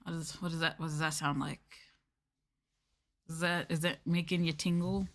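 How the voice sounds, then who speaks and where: Low-pitched woman streamer, playful and hesitant. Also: flat delivery, normal speed. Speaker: VTuber streamer. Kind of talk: casual ASMR livestream chat.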